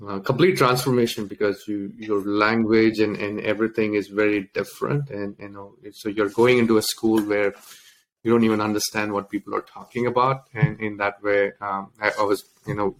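A man from India, an interview guest, telling his life story in a halting way with many fillers and restarts. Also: lowish voice, flat delivery, rough recording.

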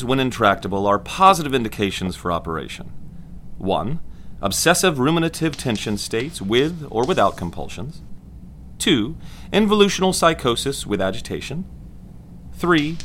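A man playing a confident 1940s lobotomist, giving a formal, measured and detached medical lecture with an authoritative manner. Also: medium pitch, bright, forceful tone, a little hiss or hum. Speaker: actor playing a lecturing doctor.

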